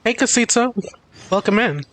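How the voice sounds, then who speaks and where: A man's voice: very high voice, animated, moderate pace, a bit bright, slight background noise. A man livestreaming a video game, chatting casually and commenting on it. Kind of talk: casual gaming stream chat. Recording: faint game music behind.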